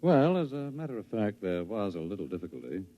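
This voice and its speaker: Deep-voiced, smoothly controlling official. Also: male voice, very animated. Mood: smooth, condescending. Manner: measured, patronizing, formal. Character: cold, smooth, controlling male director of a dystopian bureau. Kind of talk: 1950s radio drama acting. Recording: muffled vintage radio recording.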